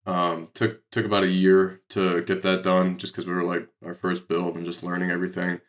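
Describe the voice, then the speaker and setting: A man's voice: low-pitched, completely flat delivery, normal speed. An American man speaking as a podcast guest, explaining things casually in a loose, rambling way full of filler words. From Detroit, Michigan, United States. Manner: rambling, heavy use of "like". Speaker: podcast guest, real estate investor.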